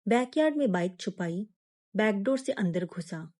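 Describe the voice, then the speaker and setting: A woman narrating a scripted first-person suspense story in a measured, dramatic manner with pauses. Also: mid-range voice, lively intonation, darkish tone.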